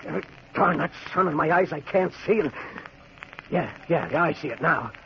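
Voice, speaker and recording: An actor playing a selfish, bullying stranded trekker in a gruff, blustering 1940s radio drama style. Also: male voice, a little high, lively intonation, moderate pace. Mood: eager. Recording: muffled, noisy 1940s radio recording.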